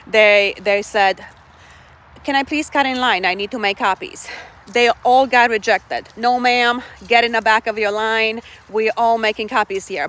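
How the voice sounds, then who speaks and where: A woman's voice: medium pitch, a bit bright. A middle-aged woman from Romania, giving casual life advice in an earnest way and often checking in with her listeners. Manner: earnest, keeps checking in with "okay?" and "right?".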